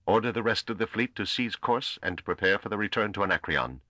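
A man's voice: on the low side, lively intonation, bright, forceful tone, no room sound, voice pushed up. Man acting a stern, declamatory priest. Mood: stern commanding. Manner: declamatory, slow, commanding. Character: stern, righteous male priest laying solemn curses and commands. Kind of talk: dramatic audiobook character voice.

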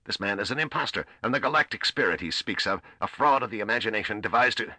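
A man's voice: rumbling low, fairly even intonation, fast, bright, forceful tone, dry recording, full character voice. A man voicing an arrogant, hot-headed prince and admiral in a sci-fi audiobook, imperious, indignant and blustering. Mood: shrieking fury. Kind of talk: dramatic audiobook character voice.

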